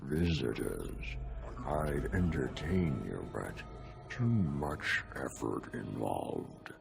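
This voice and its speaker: Very deep, gravelly, languid demon. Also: male voice, sing-song, over music, full character voice. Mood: lazy, lulling. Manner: slow, drawling, lulling. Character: languid, seductive sloth demon, weary and persuasive. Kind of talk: video game villain acting.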